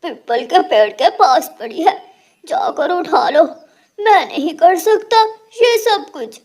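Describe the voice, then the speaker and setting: Someone voicing a lazy cartoon crow husband, grumbly, pretty high pitched voice. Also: sing-song, slow, a little mellow, heavily acted. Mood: grumbling.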